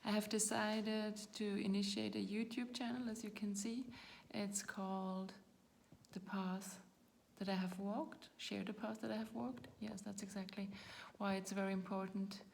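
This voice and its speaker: Host, woman, 40s, non-native English, flat gravelly delivery, soft faint noise behind, reflective and searching for words. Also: mid-range voice, unhurried. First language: not English. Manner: reflective, searching for words, often trails into a whisper. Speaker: YouTube channel host. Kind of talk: personal talk to camera.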